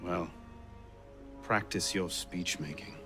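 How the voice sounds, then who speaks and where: An actor playing a cool, wry young assassin in a historical video game, speaking in a dry, understated way. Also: male voice, sits low, slow pace, over music. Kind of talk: video game cutscene acting.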